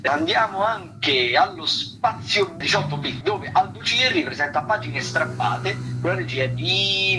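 A man's voice: a little high, pushed and bright, low-quality recording. A man with an Italian accent giving informal theatre commentary on the radio in a hesitant, digressive, wry way.

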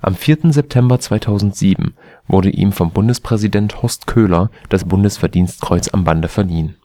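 Low, dark-voiced German man reading. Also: moderate pace, no room sound, studio quality. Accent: standard German. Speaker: volunteer reader of a spoken encyclopedia article. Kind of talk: scripted read-aloud narration.

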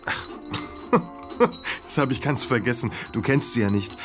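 An actor in a radio drama playing a flirtatious Berlin art dealer with a German accent, mixing German with clumsy English. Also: male voice, mid-range voice, a little slow, natural voice. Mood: boastful, smitten. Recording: music behind the voice.

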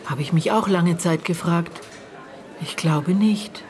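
Radio drama: middle-aged aunt of a dead girl, lowish female voice, terse, halting, guarded, bitter edge. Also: darkish tone. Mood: pensive. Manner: terse, halting, broken phrases with thoughtful pauses. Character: murdered girl's aunt, bitter, guarded, searching her memory. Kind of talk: naturalistic German radio drama acting.

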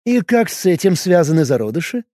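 A male narrator voicing a bold, sarcastic young woman, raising his voice high and speaking pertly. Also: very animated, fairly quick, mellow, no echo, studio quality. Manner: raised, pert, mocking tone. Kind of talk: audiobook character voice.

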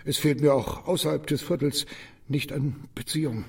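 A man acting a suspicious, secretive loner afraid of arrest in a radio drama, uneasy, halting and over-insistent. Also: fairly high pitch, steady tone, vocal fry, husky, exaggerated voice. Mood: furtive, wary. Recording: distant from the microphone.

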